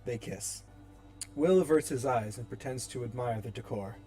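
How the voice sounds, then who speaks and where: A man narrates a screenplay, reading scene headings and action in a dramatic table-read style. His voice sits at an average pitch, with faint music behind him. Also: moderate pace, warm tone. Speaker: narrator and reader.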